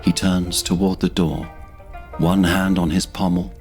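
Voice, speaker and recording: Male narrator for fantasy audio drama: very low register, measured storytelling, faint music underneath. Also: level tone, darkish tone.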